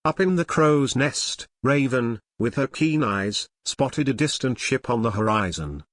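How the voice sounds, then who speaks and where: A man giving scripted storybook narration of an audio drama in a measured, dramatic way. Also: medium pitch, lively intonation, fairly bright tone.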